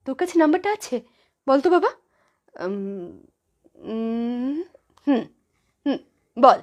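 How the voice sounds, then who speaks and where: Woman acting a haughty high-pitched daughter-in-law. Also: animated, slow. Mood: distracted, eager. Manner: sharp, contemptuous, imperious. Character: haughty, scolding modern daughter-in-law and mother. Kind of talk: solo voice-modulated Bengali family skit.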